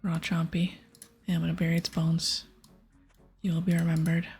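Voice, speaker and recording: A woman doing a whispered ASMR game playthrough, casually soft-spoken and breathy as she reads aloud and comments. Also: low voice, completely flat delivery, moderate pace. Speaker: ASMR gaming streamer. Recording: soft music behind. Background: soft music.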